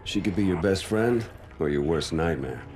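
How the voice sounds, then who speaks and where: Extremely deep-voiced, grave soldier narrator. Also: male voice, animated, moderate pace, music in the background. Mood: grave, reflective. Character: grave, reflective male soldier narrating his story. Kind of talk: dramatic first-person narration.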